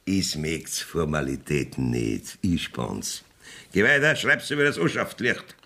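Gravelly, swooping Bavarian village judge. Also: male voice, very deep voice, sing-song, measured pace, sharp tone, full character voice. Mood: grumbling. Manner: folksy, bossy, theatrical. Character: blustering, evasive rustic Bavarian village judge. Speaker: actor in a radio play. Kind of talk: 1970s dialect radio play acting.

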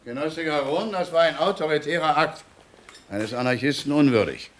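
An actor playing an indignant anarchist, lecturing a comrade in a formal, preachy way in a radio drama. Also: male voice, a little high, moderate pace, slight background noise, natural voice. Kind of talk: radio drama flashback speech.

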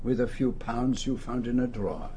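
An actor playing a courteous, shrewd Belgian detective with a French-speaking accent, formal and measured, in a radio drama. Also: male voice, medium pitch, warm tone, slight background noise, close to an everyday voice. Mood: measured, probing. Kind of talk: radio drama acting and narration.

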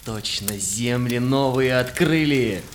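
An actor playing a wondering shipwrecked Cossack sailor, declaiming rhymed verse in an audio play. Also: male voice, medium pitch, slow pace, a little hiss or hum, close to an everyday voice. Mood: awed wonder.

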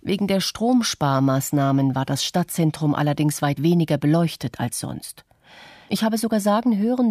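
A woman voicing an earnest, critical middle-aged witness in a scripted documentary radio play, with a husky voice. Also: sits low, darkish tone, no room sound, clean studio sound.

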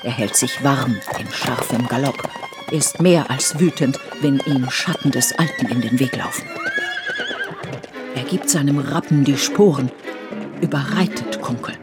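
A woman narrating a scripted literary radio play in measured, poetic storytelling. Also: rumbling low. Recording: studio radio play with music underneath.

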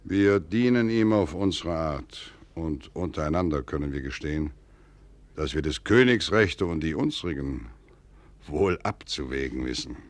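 An actor playing a grave, shrewd, wary prince in a classical German radio drama, with deliberate, weighty declamation. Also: male voice, very deep voice, lively intonation, no room sound. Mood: brooding, confiding.

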